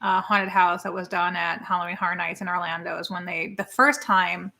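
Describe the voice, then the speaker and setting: A woman's voice: on the low side, fairly even intonation, a little fast, gravelly, sharp tone. A woman co-hosting a live stream, chatting casually and rambling as she reads viewer comments. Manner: chatty and rambling, spelling words out. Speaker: co-host of a live watch party stream.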